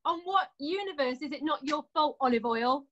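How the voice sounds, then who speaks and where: A woman's voice: sits high, lively intonation, normal speed, fairly bright tone, lo-fi sound. A woman acting a blunt, sarcastic elder sister who holds a grudge, in a naturalistic drama. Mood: curt, irritated. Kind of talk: naturalistic stage drama dialogue.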